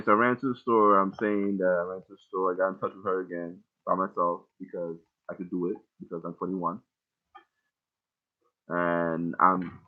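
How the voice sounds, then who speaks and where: A young man casually breaking down his own song lyrics line by line, rambling and swearing freely. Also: low-pitched. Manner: loose, rambling, heavy casual profanity. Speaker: rapper explaining his lyrics. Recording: tinny phone-like audio.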